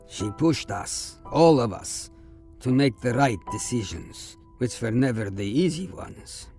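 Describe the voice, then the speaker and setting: A man's voice: medium pitch, expressive, measured pace, a little mellow, faint music behind. A man playing a loyal, wry older starship officer in a science fiction audio drama, speaking with emphasis. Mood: reflective.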